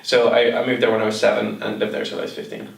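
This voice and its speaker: Young irish man, gravelly voice, hesitant and thoughtful, echoey room, far from mic. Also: on the low side, monotone, quick pace. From Ireland. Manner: thoughtful, hesitant with many fillers. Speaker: guest. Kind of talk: casual interview chat.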